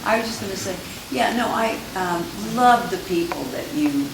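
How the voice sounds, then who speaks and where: A woman's voice: mid-range voice, animated, normal speed, gravelly. A woman in the audience asking a question at a panel in a hesitant way, with many restarts. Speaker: audience member. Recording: echoey hall, distant, hissy.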